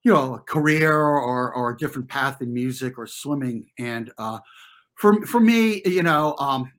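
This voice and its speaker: A middle-aged man with an American accent, telling personal stories as an interview guest in an informal, halting way, often circling back to rephrase. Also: mid-range voice, lively intonation, fairly bright tone. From US, New York and New Jersey. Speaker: interview guest, former competitive swimmer. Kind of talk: reflective conversational storytelling.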